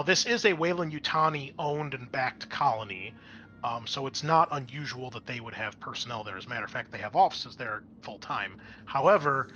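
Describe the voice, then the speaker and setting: A man narrating a tabletop game as game master in a casual, improvised way, often halting to think aloud. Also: mid-range voice, pushed and bright. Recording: clear background noise.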